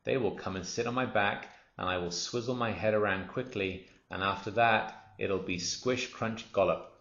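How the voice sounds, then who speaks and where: A man gives voice to an enormous, greedy storybook crocodile who gloats and mutters his plans under his breath. The voice sits low, and the recording has a roomy, dull lo-fi sound. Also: moderate pace, a bit bright. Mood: scheming. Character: greedy scheming male crocodile, huge, sly.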